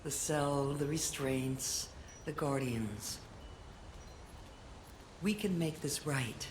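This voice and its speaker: A woman voicing a serene female video game guardian who coaxes and pleads gently. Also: rumbling low, drawn-out words, background noise, heavily acted. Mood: tender, pleading. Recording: echoing game voice under music.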